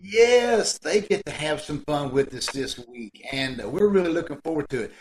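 A man's voice: on the high side, expressive, normal speed. Folksy man co-hosting, faint noise. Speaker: troupe member co-hosting. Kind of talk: casual chat to audience. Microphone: video call. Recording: faintly noisy video call.

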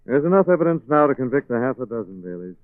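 An actor playing a suave, protective gentleman sleuth with brisk assurance in a 1940s radio drama. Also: mid-range voice, very animated, clean tone, dry recording, close to an everyday voice. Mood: assured, solicitous. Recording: phone-like, dry old radio recording.

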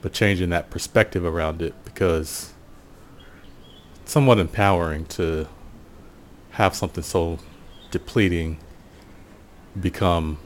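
An American man giving a casual, reflective solo monologue in an unhurried, musing way, often pausing mid-sentence. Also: sits low, steady tone, a little hiss or hum. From Portland, USA. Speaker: podcast host.

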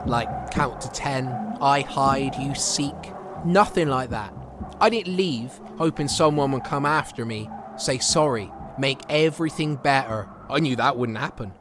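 A man's voice: medium pitch, a little slow, noisy background, natural voice. An actor playing a wounded young homeless man in an audio drama monologue, bitter and haunted, in short broken phrases.

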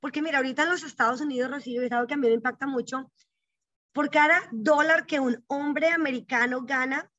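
Bright-voiced woman telling her story earnestly. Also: sits high, brisk, no room sound. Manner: earnest, reflective, uses frequent tag questions. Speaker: guest, psychologist and bank executive. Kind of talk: personal storytelling in an interview. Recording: dull, dry, slightly lo-fi.

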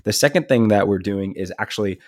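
A man's voice: on the low side, fast talker, no room sound, clean studio sound. A man co-hosting a casual podcast, sounding nasal from a stuffy nose, upbeat and easygoing. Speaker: podcast co-host, student ministry leader.

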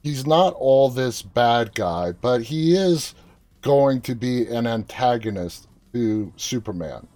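A man's voice: mid-range voice, lively intonation, slow, darkish tone. A man hosting a live interview, asking questions in a casual, conversational style. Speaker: interview host.

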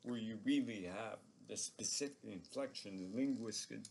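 A man in his sixties from Quebec, a native French speaker with a softened French-Canadian accent, talking in a measured, thoughtful way as an interview guest. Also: lowish voice, vocal fry, slight background noise. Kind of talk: reflective conversation.

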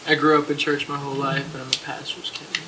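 A man's voice: a little high, level tone, quick pace, background noise. A man from Texas, a Bible school student, answering interview questions plainly.

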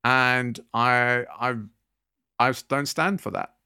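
A man's voice: medium pitch, slow pace, sharp tone, clear, resonant tone. A man in his thirties co-hosting a podcast, talking earnestly with many fillers and restarts. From Britain. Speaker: podcast co-host. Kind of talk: casual podcast discussion.

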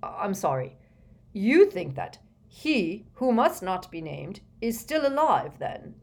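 A woman voicing a pompous, bewildered male Prime Minister in a storybook reading, halting and indignant. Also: medium pitch, sing-song, darkish tone, heavily acted.

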